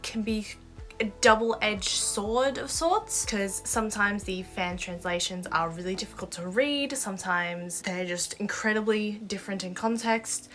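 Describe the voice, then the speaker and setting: A woman's voice: mid-range voice, a bit bright. A young Australian woman with an Australian accent, talking casually and enthusiastically to camera as a manga vlogger. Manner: chatty and enthusiastic, with asides and laughs. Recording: faint background music.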